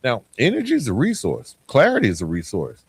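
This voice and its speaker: A man hosting a motivational podcast, speaking in a conversational monologue with emphatic phrasing and deliberate pauses. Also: mid-range voice, huge pitch swings, slightly rough.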